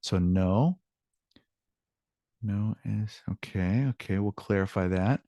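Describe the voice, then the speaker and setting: Man, fairly deep voice, language teacher, informal, encouraging, switching languages, dry video-call recording. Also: slow pace, mellow, no room sound. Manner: informal, encouraging, switches between English and Spanish. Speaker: Spanish teacher. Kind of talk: online language lesson, explaining examples.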